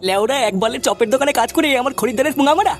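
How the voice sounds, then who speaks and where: A woman's voice: sits high, very fast, a little hiss or hum. A woman voicing a hot-tempered, scheming male tea stall owner in a comedy cartoon, with coarse blustering speech. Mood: angry, scheming. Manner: coarse, foul-mouthed bluster.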